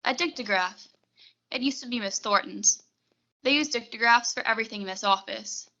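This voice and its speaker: A woman's voice: on the high side, moderate pace, sharp tone, dull audio. A young woman playing a prim, formal, coolly deferential secretary in a 1940s-style suspense radio play. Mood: calm, placating. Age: young adult.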